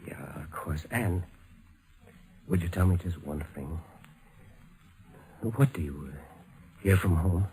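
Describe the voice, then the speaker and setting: An actor playing a lonely, heartbroken exiled naval officer with halting, earnest delivery in 1950s radio drama style. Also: male voice, sits low, expressive, dark tone, poor audio. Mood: wistful, pained. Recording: vintage 1950s radio recording, music underneath.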